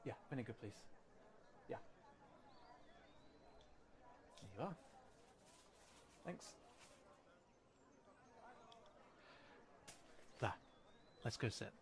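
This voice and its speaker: A man's voice: mid-range voice, lively intonation, moderate pace, a little hiss or hum, lightly acted. A British man playing a young cat townsman in an audio roleplay, informal and hesitant, guarded but friendly as he guides the listener. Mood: relaxed, friendly. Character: wary, helpful young male cat townsman guiding a human.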